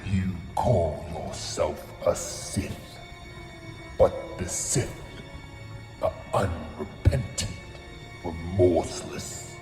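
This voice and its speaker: Ancient grumpy alien warlord for sci-fi audio drama: low, cavernous echo, scornful menace. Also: male voice. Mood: ominous, commanding. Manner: slow, ominous, commanding. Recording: echoey hall, loud music underneath.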